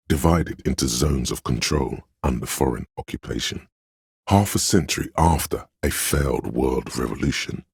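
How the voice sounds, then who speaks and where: Very deep, gravelly, scholarly inner voice. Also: male voice, no room sound, studio quality, heavily acted. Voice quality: gravelly, husky bass. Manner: measured, erudite, dryly sardonic. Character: scholarly inner encyclopedia voice, grave, dryly musing trivia narrator. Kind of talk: scripted game narration.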